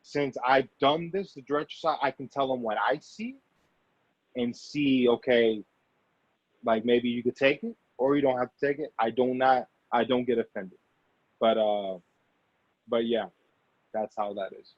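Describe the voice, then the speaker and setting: A man's voice: medium pitch, measured pace, pauses often, no echo. Male interview guest, conversational and rambling, repeats himself, dry but dull lo-fi recording. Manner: rambling, repeats and restarts phrases. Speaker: guest actor and filmmaker. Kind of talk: casual interview talk. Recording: dull lo-fi audio.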